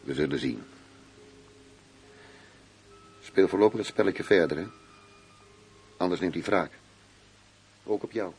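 An actor playing a wry, weary, middle-aged undercover police commissioner in a radio drama, speaking in a measured, dry way. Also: male voice, deep voice, a little mellow, slightly rough, exaggerated voice. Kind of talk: Dutch radio drama acting. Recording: noisy radio play recording.

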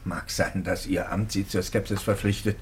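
Husky, earnest, insistent clergyman. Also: sits low, flat delivery, normal speed, exaggerated voice. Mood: troubled. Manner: emphatic, formal, insistent. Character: loyal, earnest male clergyman, firm in defending a colleague. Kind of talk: 1950s German radio play acting.

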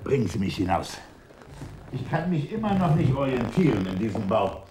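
A man's voice: mid-range voice, big pitch swings, normal speed, background noise, natural voice. An actor playing a cynical, amused older official in a radio drama, musing sardonically and savouring his words. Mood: musing, sardonic. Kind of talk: German radio drama acting.

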